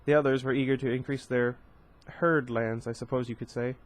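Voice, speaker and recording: A man's voice: medium pitch, fairly even intonation, brisk. A man reading a novel aloud in a casual, unpolished way, stumbling over words and correcting himself. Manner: reads plainly, stumbles and corrects himself, breaks off for joking asides. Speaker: reader of a novel. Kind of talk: casual read-aloud with asides.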